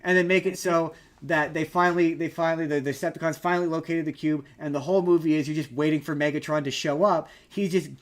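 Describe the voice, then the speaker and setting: A man's voice: fairly high pitch, fairly even intonation, fast talker, few pauses. A man co-hosting a podcast, talking casually and opinionatedly about film in an emphatic, rambling, argumentative way. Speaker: podcast co-host.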